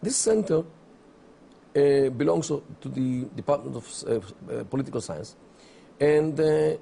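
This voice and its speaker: A middle-aged man from Israel, not an English speaker, giving deliberate interview answers full of pauses and fillers. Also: mid-range voice, lively intonation, vocal fry, dark tone, a little hiss or hum. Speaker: interview guest, academic. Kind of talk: measured explanatory interview answers.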